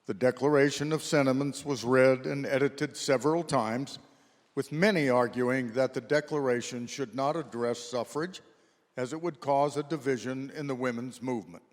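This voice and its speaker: A man's voice: mid-range voice, normal speed. A man narrating a scripted history for a readers theater performance. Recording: echoey hall with long reverb.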